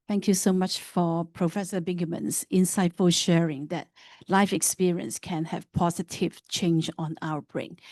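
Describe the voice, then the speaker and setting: A female moderator speaks formally to a conference session. Her voice is soft-edged and a little low, with a dry, close sound. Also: no echo.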